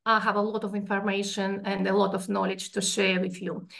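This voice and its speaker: A woman's voice: mid-range voice, brisk, vocal fry. A woman from Russia with a strong Russian accent, presenting a financial webinar over a video call and explaining each slide step by step. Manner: explanatory, steady, walking through slides.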